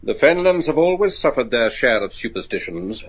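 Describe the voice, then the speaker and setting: An actor playing a learned, excitable professor of werewolf lore in a gothic horror radio drama, theatrical and scholarly. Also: male voice, mid-range voice, moderate pace. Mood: scholarly, insistent. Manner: theatrical, scholarly, hesitant stammers.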